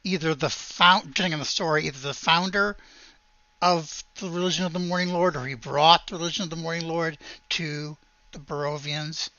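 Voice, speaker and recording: A game master improvising tabletop game narration in a halting, hesitant way. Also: mid-range voice, fairly even intonation, bright, forceful tone, no echo, dull audio.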